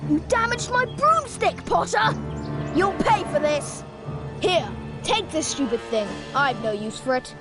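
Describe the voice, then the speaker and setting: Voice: very high voice, expressive, unhurried, heavily acted. A performer playing a spoiled, haughty schoolboy bully in a video game, taunting and spiteful. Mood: furious. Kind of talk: video game character acting. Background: game music.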